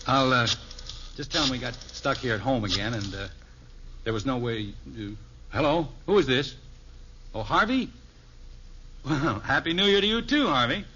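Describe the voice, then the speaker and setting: Genial suburban dad, American, animated and a bit hesitant, on muffled old radio. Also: male voice, mid-range voice, moderate pace, pauses often, breathy edge. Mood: awkward, hearty. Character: genial middle-aged suburban husband and father. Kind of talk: 1950s radio sitcom acting. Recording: muffled vintage radio recording.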